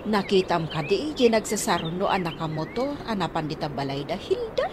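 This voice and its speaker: A woman's voice: fairly low pitch, big pitch swings, normal speed. A woman playing a nosy, skeptical, scolding older mother in a comedy radio drama, nagging and questioning. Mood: prying. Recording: radio drama with clear background noise.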